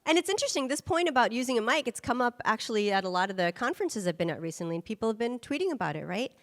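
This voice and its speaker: A woman's voice: a little high, sing-song, very fast, in a large hall. A woman giving a conference presentation, speaking emphatically and checking in with rhetorical questions. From United States. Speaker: guest speaker.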